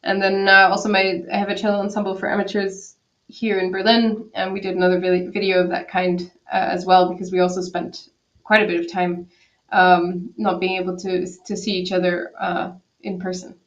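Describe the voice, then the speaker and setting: A woman's voice: on the low side, level tone, moderate pace, muffled recording. A woman from Quebec, a cellist guest, answering thoughtfully in a casual interview over a video call, with many restarts. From Quebec, Canada. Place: home in Berlin.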